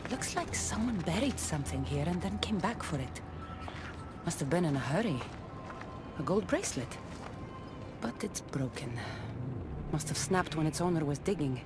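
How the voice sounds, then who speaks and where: A tough female warrior for a video game, direct and dryly wry. Her delivery swings up and down as she questions and sizes things up, with music playing clearly behind her. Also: low-pitched, animated, normal speed, long pauses. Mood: musing. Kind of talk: video game dialogue acting.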